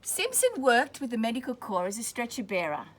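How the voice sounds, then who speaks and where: A woman's voice: on the high side, big pitch swings. A woman reads a picture book aloud to children, stopping to explain words in plain terms. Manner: pauses to explain words simply. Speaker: school staff member reading aloud.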